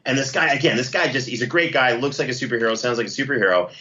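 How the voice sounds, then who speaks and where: A man with a bright, forceful voice gives a casual interview as a guest. He is enthusiastic and effusive, and the audio is a little dull and lo-fi. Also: medium pitch, expressive, rapid-fire. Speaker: podcast producer and guest.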